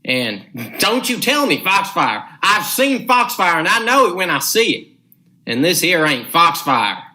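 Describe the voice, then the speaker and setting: Young guy playing a animated, folksy old storyteller. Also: high voice, full character voice. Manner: rambling, rustic folksy drawl. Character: folksy superstitious old male storyteller, garrulous and emphatic. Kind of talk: old-time radio drama acting.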